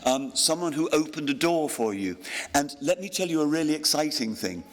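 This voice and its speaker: A man in his sixties giving a graduation address at a ceremony, self-deprecating, with pauses and ums. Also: on the high side, animated. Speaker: honorary graduate giving a speech. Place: large hall at a graduation ceremony. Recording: long hall reverb.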